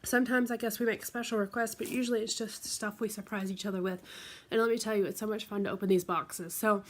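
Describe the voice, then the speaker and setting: A woman from the United States talks through a box of craft supplies in a casual, rambling way, full of ums and likes. Her voice sounds congested and stuffy from a recent flu. Her pitch sits in the middle range for a woman. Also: fairly even intonation, fast. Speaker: craft vlogger. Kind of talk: casual show-and-tell unboxing.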